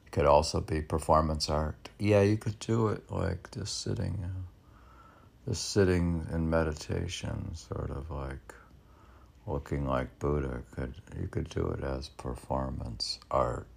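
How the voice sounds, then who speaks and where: A man reads poetry aloud casually, rambling into his own commentary and musing haltingly, as if arguing with himself. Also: rumbling low, gravelly, breathy edge. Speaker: poet reading own poems aloud.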